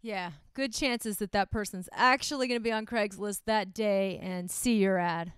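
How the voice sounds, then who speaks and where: Woman, exceptionally clear smooth voice, medium pitch, dry sound, teasing casual co-host banter. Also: moderate pace, dry recording. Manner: teasing, sarcastic. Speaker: comic and podcast co-host.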